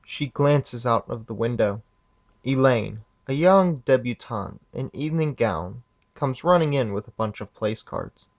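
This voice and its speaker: A man's voice: medium pitch, expressive, measured pace, vocal fry, dull audio. A man narrating a radio play, reading scripted stage scenes. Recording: music under the voice. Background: music.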